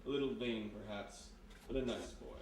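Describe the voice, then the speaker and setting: An actor playing a sinister, courteous hypnotist in a live radio mystery, speaking in a measured, insinuating way. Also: male voice, mid-range voice, vocal fry, darkish tone, lightly acted. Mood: soft menace. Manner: measured, insinuating, polite, deliberate. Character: sinister soft-spoken male hypnotist, calmly menacing, courteous. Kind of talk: live 1940s-style radio mystery acting. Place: live performance in a historic house room. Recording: roomy distant live recording, background noise.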